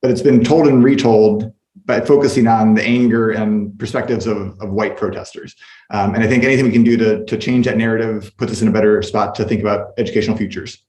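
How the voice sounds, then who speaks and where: A man's voice: lowish voice, fairly even intonation, fast, a little mellow. A man giving earnest, articulate remarks as a panelist in an academic discussion. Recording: echoey room sound.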